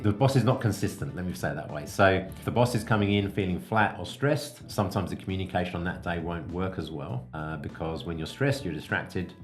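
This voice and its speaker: A man giving an informal explanatory coaching talk as a podcast host, explaining things step by step with examples in a conversational way. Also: sits low.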